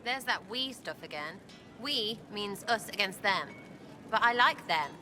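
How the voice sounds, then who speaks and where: A woman's voice: mid-range voice, lively intonation, a little slow, over music, close to an everyday voice, rough recording. A woman playing a brash, mocking young elf rogue in a video game, cheeky, blunt and slangy. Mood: blunt, amused. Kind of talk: dramatic video game acting.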